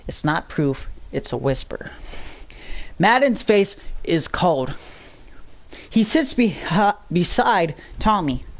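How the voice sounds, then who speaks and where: Low-voiced woman narrating a dramatic story. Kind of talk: dramatic scripted story narration, read aloud.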